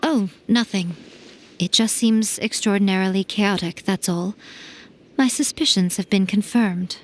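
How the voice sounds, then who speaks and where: A woman voicing a sly, aloof female fox familiar in a video game, teasing and knowing in an unhurried way. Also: mid-range voice, a little hiss or hum, natural voice. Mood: solemn.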